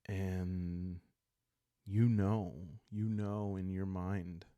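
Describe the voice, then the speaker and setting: A man narrating a solo roleplaying story in a calm, hushed ASMR style, halting with deliberate pauses, close to the microphone. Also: low voice, dry recording. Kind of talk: calm ASMR narration of a dark journaling game.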